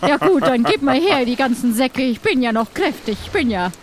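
A woman's voice: high-pitched, animated, fairly quick, a little hiss or hum. A woman playing a sturdy, wry, bossy adventurer in an improvised pirate audio drama, blunt with some hesitation.

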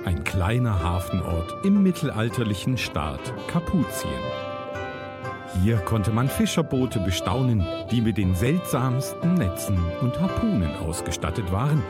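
A narrator reading scripted, storybook-style descriptive prose. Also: mid-range voice. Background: loud guitar music.

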